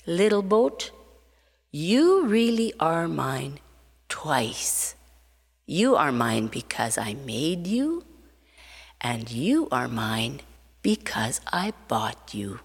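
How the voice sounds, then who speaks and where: A woman's voice: slow, few pauses, full character voice. A woman doing an eager little boy in an echoey hall. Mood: tender. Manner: high and eager, lively swoops. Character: excited young boy who built a toy boat.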